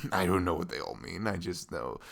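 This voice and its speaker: A man plays a sweet young boyfriend in an intimate ASMR roleplay. His low voice is velvety but husky, sinking into creaky fry. He rambles informally and playfully, with pitch that moves around freely. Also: lively intonation, moderate pace, heavily acted. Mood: open, musing. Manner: chuckling, informal, loosely rambling.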